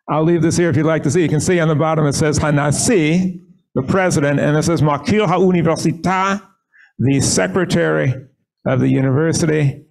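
Gravelly-voiced rabbi speaking from a lectern. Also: male voice, on the high side, a little mellow, poor audio. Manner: measured, earnest storytelling. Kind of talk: leading a synagogue service and giving a short sermon. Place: synagogue sanctuary.

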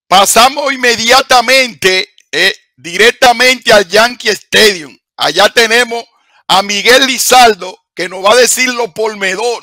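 An older man hosts a sports program from a small indoor studio, talking through a headset mic. His voice sits high and bright with a forceful edge. The sound is close and dry, with no room around it. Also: no echo. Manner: emphatic, repeats words for effect. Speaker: studio host. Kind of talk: television sports show hosting. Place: studio with home-style set, indoors.

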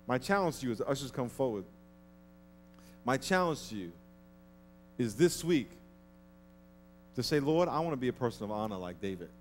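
A man in his thirties preaching a sermon on a large church stage into a headset mic, lively and acting out people's grudges. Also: medium pitch, normal speed, long pauses, a little hiss or hum. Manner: animated, acts out petty grudges in a mocking voice. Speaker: pastor.

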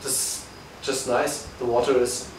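Relaxed man, distant and echoey. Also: medium pitch, slow pace, noisy background. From Berlin, Germany. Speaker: guest. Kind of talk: casual interview. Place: living room of a guesthouse, indoors. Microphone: far camera. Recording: echoey room from far off.

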